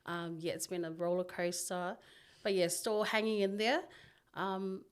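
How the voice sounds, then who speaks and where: Thirties woman from New Zealand, podcast guest, relaxed and rambling, fairly low voice. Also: a little slow, clear, resonant tone. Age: 30s. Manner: relaxed and rambling, with many "like" fillers. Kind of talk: casual interview. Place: studio.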